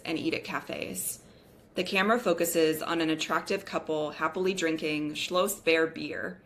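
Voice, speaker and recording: A woman narrates a screenplay's stage directions at a table read. Her pitch is on the low side, and faint noise sits behind her. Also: fairly bright tone.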